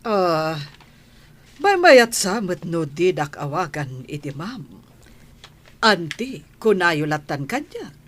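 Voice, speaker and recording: A woman playing an unhurried, welcoming older bar boss in a scripted audio drama. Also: on the low side, big pitch swings, full character voice. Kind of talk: scripted radio-style drama.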